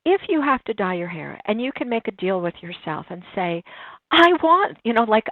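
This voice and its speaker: A woman's voice: medium pitch, big pitch swings, moderate pace, dry recording. A woman in her sixties, a guest in an interview, talking reflectively and telling stories with frequent restarts, over a tinny line. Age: 60s. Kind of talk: casual interview conversation. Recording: tinny phone-line sound, dry.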